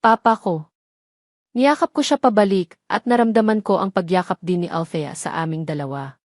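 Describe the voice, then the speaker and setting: A woman's voice: lowish voice, brisk, a little mellow, dry recording. Filipino woman narrating, dry close recording. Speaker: audio story narrator. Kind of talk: scripted romance story narration.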